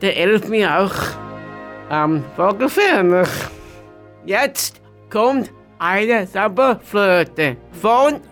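A presenter announcing a song in halting, broken phrasing. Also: medium pitch, animated, a bit bright, soft background music.

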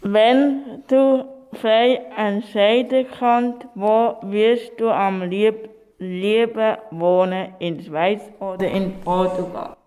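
An interviewer asking simple questions in a slow, deliberate way. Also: medium pitch, fairly even intonation, a little mellow. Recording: long reverb.

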